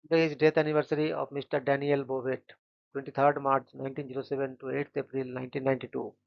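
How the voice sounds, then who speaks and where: A man hosting a daily channel, reading short scripted biographies in a flat, steady way and stumbling now and then on names. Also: medium pitch, fast, creaky, muffled recording. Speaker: host of a daily events channel.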